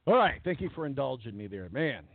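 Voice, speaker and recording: A man hosting a sports radio show in casual chat, rambling with fillers and repeated words, with a voice that is going hoarse. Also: mid-range voice, sing-song, a little fast. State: losing his voice. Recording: tinny phone-line quality, dry.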